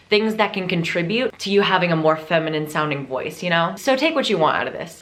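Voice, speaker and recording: Young woman vlogger, lowish voice, chatty, salty, playful, casual tips to camera. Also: fairly bright tone. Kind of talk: casual chat.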